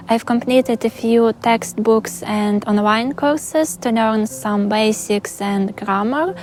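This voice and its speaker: Young adult Ukrainian woman, a touch high-pitched, calm and sincere vlog Q&A delivery. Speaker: vlogger. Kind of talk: Q&A vlog narration.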